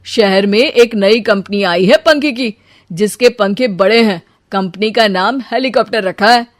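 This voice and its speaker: A glib young husband from a cartoon moral story, voiced by a woman, boasting and slipping out of trouble with hesitant excuses. The voice sits at an ordinary female pitch, in a very dry recording. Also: measured pace, dry recording, natural voice. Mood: glib, self-justifying. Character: boastful, evasive young husband telling slippery half-truths.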